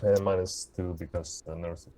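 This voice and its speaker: A man's voice: deep voice, little pitch movement, moderate pace, warm tone, soft background music. A man casually playing a tabletop game, announcing his character's actions and speaking in character.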